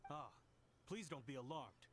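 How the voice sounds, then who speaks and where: An actor playing a courteous, dutiful guard in a video game, speaking formally and reassuringly. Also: male voice, mid-range voice, animated, unhurried, a little hiss or hum, close to an everyday voice. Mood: polite, reassuring. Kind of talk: English video game voice acting.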